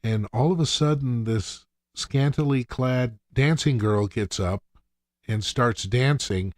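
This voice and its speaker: A man's voice: medium pitch, slow pace, darkish tone, dry recording, studio quality. A man co-hosting a podcast, recapping a TV episode in casual conversation. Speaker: podcast co-host.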